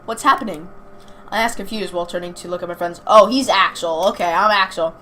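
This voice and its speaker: A woman's voice: lowish voice, steady tone, a little fast, bright, forceful tone. Young woman, chatty gamer, noisy recording. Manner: informal, chatty, stumbles over words while reading aloud. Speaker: gaming video host. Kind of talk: casual let's-play commentary, reading game text aloud. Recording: clearly noisy amateur home recording.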